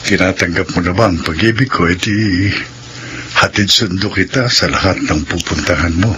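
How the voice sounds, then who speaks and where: A man with a low voice and a bright, sharp edge pleads earnestly in a classic radio drama. Faint hiss sits under the recording. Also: normal speed.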